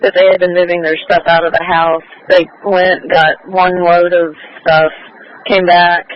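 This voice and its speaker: A man, a police officer, giving a halting, matter-of-fact incident report over police radio. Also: sits high, little pitch movement, no echo. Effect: police radio transmission. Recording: muffled, noisy police scanner radio.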